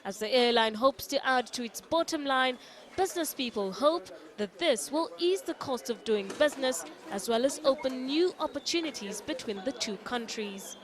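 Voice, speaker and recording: A woman delivering a news report in a steady, informative manner. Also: high-pitched, moderate pace, a loud crowd. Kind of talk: news voice-over.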